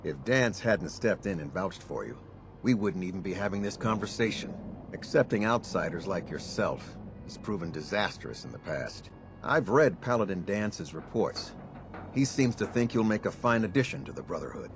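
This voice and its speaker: A low-pitched, stern military captain in a video game, talking in a clipped, formal way with clear disdain. A noisy rumble sits behind the voice. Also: male voice, very animated, moderate pace. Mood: stern, condescending. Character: stern, condescending male military airship captain.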